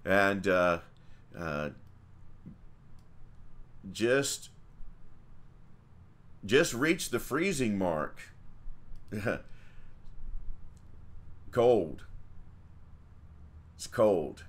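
A man's voice: on the low side, sing-song, very slow, long pauses. A middle-aged man, a priest, chatting casually on a livestream, folksy and rambling with many fillers and restarts. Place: small home room with icons.

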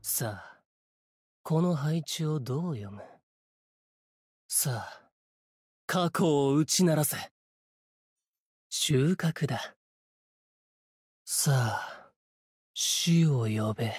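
A man voicing a solemn, cryptic sword spirit warrior in a Japanese game, with measured, portentous, archaic phrasing and a breathy voice. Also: a little high, animated, no echo, clean studio sound, full character voice. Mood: menacing. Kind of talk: Japanese game character voice lines.

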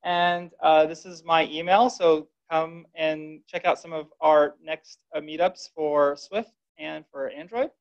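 A man's voice: on the high side, fairly even intonation, unhurried, hardly any pauses. A man wrapping up a tech presentation at a meetup, informal and upbeat with filler words. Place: dark presentation room. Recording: dull lo-fi room recording.

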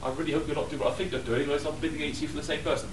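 Lively man auctioneering in an echoey room. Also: fairly high pitch, rapid-fire, slight background noise. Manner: lively, self-mocking, rattles off bids. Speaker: charity auctioneer. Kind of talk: jokey auction patter. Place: hotel function room at a convention. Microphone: far room camera. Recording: echoey room, distant camera.

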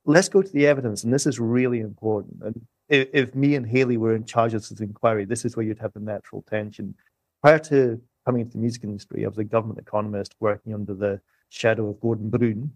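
A man, a music economist, talking through policy points in a measured, emphatic way on a podcast. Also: mid-range voice, vocal fry, a little mellow, slightly rough, no echo. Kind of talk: podcast discussion.

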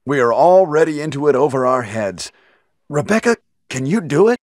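An actor playing a gruff, decisive young action hero in a video game, terse and commanding with stilted delivery. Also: male voice, on the high side, expressive, moderate pace, dry recording, clean studio sound. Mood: terse, urgent. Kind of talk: video game cutscene acting.

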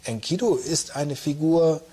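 A man explaining as an expert in an interview, halting and thoughtful as he searches for words. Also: on the high side, warm tone, breathy edge, faint music behind. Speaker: expert interviewee, professor of Assyriology.

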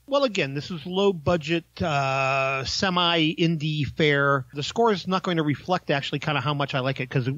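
A man's voice: fairly high pitch, fairly bright tone, no room sound, muffled recording. A man giving a casual, informal film review, talking in a hesitant, rambling way.

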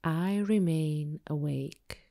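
A woman yoga teacher leading a guided meditation with soothing, measured, unhurried instructions. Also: low voice, creaky, mellow.

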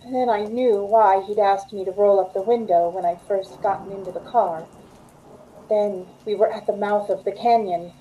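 A woman's voice: medium pitch, mellow, natural voice, rough recording. A woman playing a frightened, pleading young woman in an old-time radio suspense drama, with an anxious, dramatic delivery. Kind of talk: old-time radio suspense acting, first-person narration. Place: indoors at home on a video call.

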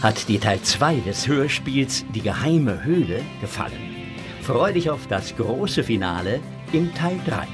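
Animated male children's story narrator. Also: medium pitch, measured pace, music in the background.

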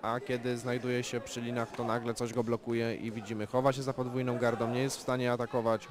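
A man calls a live amateur boxing match in a calm, analytical way, naming each punch. His voice stays flat and even, with little rise or fall. A loud crowd fills the venue behind him. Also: medium pitch. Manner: calm, measured play-by-play with technical analysis. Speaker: boxing commentator. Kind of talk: live sports commentary.

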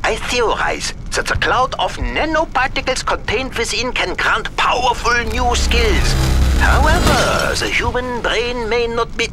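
An eccentric, learned scientist from a video game. He theorizes pedantically in a high, lively voice, with clear music behind him. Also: full character voice. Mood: fascinated. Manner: pedantic, deliberate.

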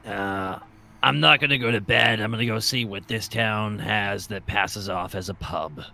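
A man's voice: on the low side, normal speed, vocal fry, pushed and bright. A man playing a tabletop game, chatting casually and jokingly about his character's actions. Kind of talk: casual, jokey in-game chat.

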